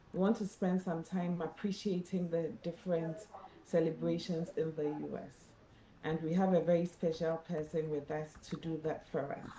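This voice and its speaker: A woman's voice: lowish voice, mellow. Woman hosting, echoey room. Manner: measured, with frequent ums. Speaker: executive director hosting a live session. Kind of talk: introducing a live online session. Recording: echoey room, faint noise.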